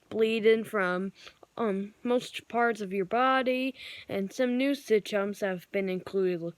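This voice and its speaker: A woman reading out homemade pretend news bulletins and emergency alerts in a halting, improvised way, with searching pauses. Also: on the high side, no room sound. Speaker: amateur storyteller acting out a mock emergency broadcast.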